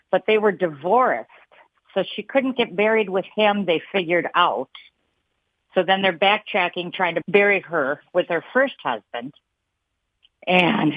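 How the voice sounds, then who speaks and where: A woman's voice: mid-range voice, no room sound. A woman calling a radio show, plain-spoken and wry. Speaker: caller. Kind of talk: phone call to a radio show. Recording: muffled phone line.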